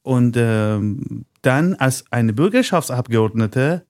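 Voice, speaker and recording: Man, dark dry voice. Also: mid-range voice, expressive, takes it slowly, no room sound. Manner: non-native German with grammar slips, searches for words. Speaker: podcast guest. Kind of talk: casual conversation.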